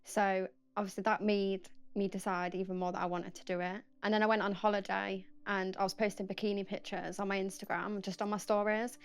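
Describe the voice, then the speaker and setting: A woman's voice: mid-range voice, flat delivery, brisk, hardly any pauses, clean tone. A woman, telling her story steadily. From Nottingham. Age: 20s.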